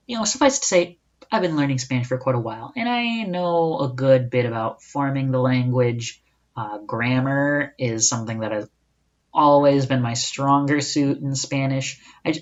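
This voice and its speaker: Young man, solo podcast host: loose, chatty, self-correcting, on a slightly muffled recording. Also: mid-range voice. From United States. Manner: casual and chatty, with rhetorical asides and self-corrections. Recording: dull lo-fi recording.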